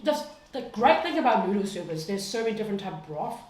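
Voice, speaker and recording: A woman's voice: mid-range voice, big pitch swings, fast, darkish tone, roomy sound. A woman in her thirties from Hong Kong, a podcast guest chatting bluntly at home, swearing freely and laughing often. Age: 30s. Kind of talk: casual chat.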